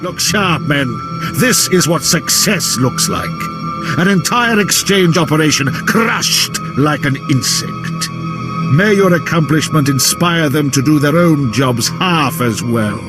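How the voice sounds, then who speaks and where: An actor playing a pompous, contemptuous Imperial military officer in a video game cutscene. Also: male voice, on the high side, lively intonation, measured pace. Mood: smug, approving. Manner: pompous, disdainful. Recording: orchestral game music behind. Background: game score.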